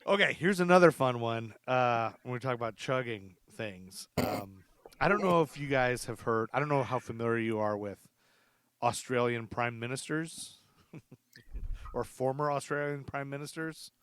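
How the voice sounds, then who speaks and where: A man's voice: mid-range voice, animated, normal speed, fairly bright tone, clean tone, no room sound. A man hosting a casual history podcast, rambling through a story and laughing often. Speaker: podcast host.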